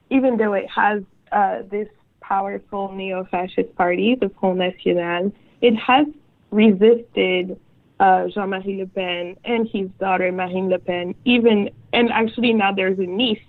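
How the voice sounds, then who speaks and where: A woman's voice: mid-range voice, fairly even intonation, a little slow, dry recording. Woman on a tinny phone-like line. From France. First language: French. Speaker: guest writer. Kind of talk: interview over a call.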